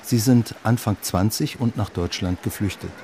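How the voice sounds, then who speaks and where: A man's voice: fairly low pitch, normal speed, background noise. A man narrating a scripted reportage as a reporter. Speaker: reporter narrating a radio feature.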